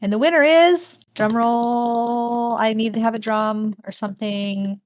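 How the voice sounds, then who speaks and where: Higher-voiced woman hosting a casual online event. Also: fairly even intonation, a little slow. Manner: chatty, laughs often. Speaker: host of an online fan event.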